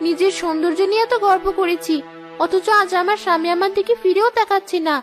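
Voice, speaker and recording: A woman's voice: very high voice, fast talker, faint music behind. A woman with a Bangladeshi accent playing a vain, proud young bride in a cartoon, complaining and boasting. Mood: aggrieved. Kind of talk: cartoon dialogue dub.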